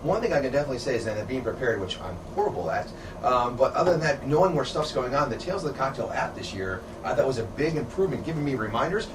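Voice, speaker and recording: A man's voice: medium pitch, big pitch swings, quick pace. A middle-aged man hosting a casual interview in a hotel room, upbeat and laughing often. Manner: enthusiastic, laughs often, calls people dude. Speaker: interviewer, show host. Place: hotel room with curtains, indoors. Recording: roomy, distant, noisy camera sound.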